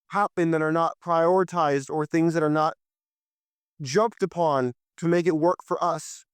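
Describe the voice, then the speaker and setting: Young male podcast host, hoarse and fired up, clear high voice, dry sound. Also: no echo. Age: young adult. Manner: impassioned, preachy, addresses listeners directly. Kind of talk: solo political commentary.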